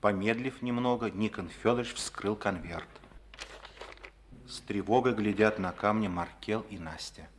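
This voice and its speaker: A man narrates an old radio play in a solemn, declamatory way. His voice is low and steady. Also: unhurried, slight background noise. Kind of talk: scripted radio drama narration.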